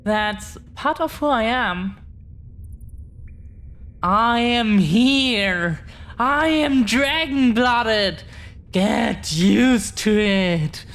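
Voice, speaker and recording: Woman playing a very slow-talking, teasing dragon girlfriend. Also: a little high, lively intonation, a bit bright, exaggerated voice. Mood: proud, bragging. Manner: slow, unhurried, teasing, with long pauses. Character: affectionate, proud dragon-blooded girlfriend bragging about her treasure. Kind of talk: intimate audio roleplay monologue. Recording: clear background noise.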